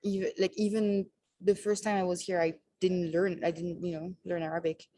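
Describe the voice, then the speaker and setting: A woman's voice: on the low side, little pitch movement, moderate pace, dark tone, a little hiss or hum. A young woman who teaches French, chatting in a bubbly, eager way with many fillers. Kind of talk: casual conversation.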